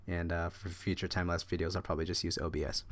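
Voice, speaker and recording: A man with a deep, low voice narrates his own drawing time-lapse in a loose, meandering way, a bit under the weather with a cough. The sound is dry, with no room echo. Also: flat delivery, quick pace, fairly bright tone. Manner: rambling, meandering delivery. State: slightly sick with a cough. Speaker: artist and channel host. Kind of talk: casual voice-over commentary on a drawing time-lapse.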